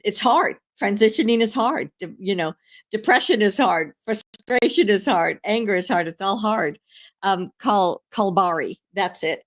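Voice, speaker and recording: Older woman host, hoarse with a cold, rambling live-stream chat full of fillers. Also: mid-range voice, fairly bright tone. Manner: rambling, chatty, many fillers and restarts. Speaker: channeler hosting a live stream; grandmother.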